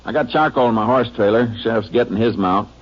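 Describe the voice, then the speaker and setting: An American actor playing a steady, businesslike Texas Ranger in an old radio drama. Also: male voice, medium pitch, fast talker, natural voice. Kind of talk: 1950s radio drama acting and narration. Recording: noisy, muffled old-time radio recording.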